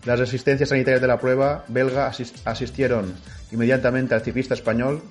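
A man reading a cycling news bulletin at a steady pace. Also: mid-range voice, fairly quick, faint music behind. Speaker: news contributor.

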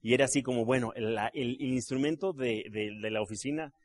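A man's voice: medium pitch, fairly quick. A middle-aged man gives a live seminar talk to an audience. He is a trained actor and voice artist who tells stories with jokes and keeps asking the crowd for agreement. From Mexico City. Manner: animated storyteller, jokes with the crowd, asks tag questions. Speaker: business seminar speaker.

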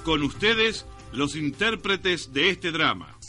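An actor playing a grandiose, mock-dramatic announcer introducing an old-time radio serial. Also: male voice, fairly high pitch, very animated, slow, over music.

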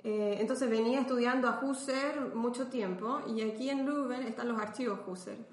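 A woman's voice: a little high, normal speed. A woman from Chile with a Chilean accent, chatting as a podcast guest, thinking aloud and laughing often. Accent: Chilean Spanish. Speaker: podcast guest, philosopher and artist. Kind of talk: casual interview chat. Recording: roomy echo.

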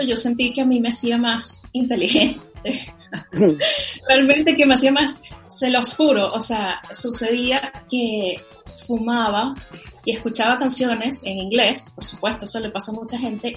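A woman's voice: a little high, normal speed. Venezuelan woman chatting as a podcast guest, upbeat and gushy, faint music under her. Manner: enthusiastic, many fillers, animated. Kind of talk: casual podcast interview.

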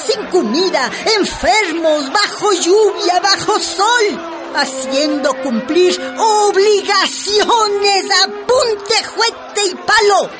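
Very high-pitched fiery rebel leader. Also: female voice, heavily acted. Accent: Ecuadorian Andean. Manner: drawn-out impassioned oratory. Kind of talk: radio drama acting. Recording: music and crowd behind the voice.

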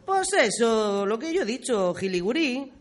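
A performer playing a talkative, fond old grandmother, reminiscing in folksy, expressive style in a community radio play. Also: mid-range voice, a little slow. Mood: chatty, storytelling. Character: talkative, fond old village grandmother telling local history.

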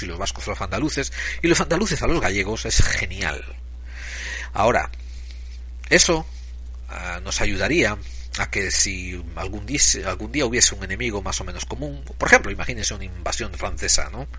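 Forceful, husky low-voiced man. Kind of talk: opinion monologue to camera or microphone.

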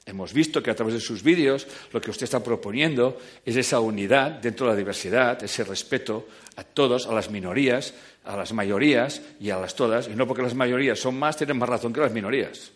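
Animated man lecturing an audience. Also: mid-range voice, fairly quick. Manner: animated, joking, swings between loud and quiet. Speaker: speaker giving a talk.

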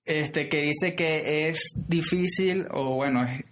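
A man's voice: fairly high pitch, takes it slowly, fairly bright tone, slight background noise. A man co-hosting a podcast, explaining ideas in casual political talk with many hesitations and restarts. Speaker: podcast co-host.

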